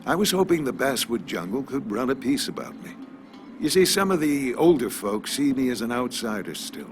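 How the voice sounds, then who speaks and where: A man playing a courteous, philosophical older small-town pharmacist in a video game, talking in a polite, measured, folksy way. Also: medium pitch, very animated, over music, lightly acted. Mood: friendly, confiding. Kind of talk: video game character dialogue.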